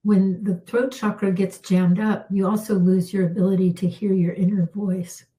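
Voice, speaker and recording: A middle-aged woman, a healer, leading a calm guided spiritual healing with unhurried talk and soft pauses, her voice slightly worn. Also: medium pitch, low-quality recording. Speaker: intuitive healer hosting a live session.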